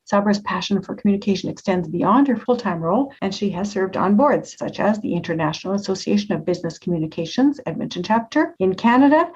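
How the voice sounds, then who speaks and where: A woman hosting an interview podcast, reading an introduction and then asking questions with open enthusiasm. Her voice is a little husky and sits at a middling pitch. The recording sounds dull and slightly lo-fi. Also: animated, quick pace, hardly any pauses. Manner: warmly enthusiastic, praising. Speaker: podcast host.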